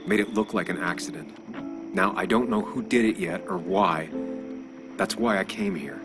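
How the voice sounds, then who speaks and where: An actor playing a weary, sardonic journalist loner in a video game, with a wry, self-musing manner. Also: male voice, deep voice, moderate pace, in a large hall, over music, natural voice. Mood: earnest, grave. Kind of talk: video game dramatic acting and inner monologue.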